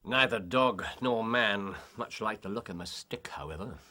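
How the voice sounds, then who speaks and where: An actor playing a shrewd, wry gentleman detective with a British accent, speaking precisely and theatrically in an audio drama. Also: on the low side, moderate pace, noisy background, natural voice. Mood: dry, reflective.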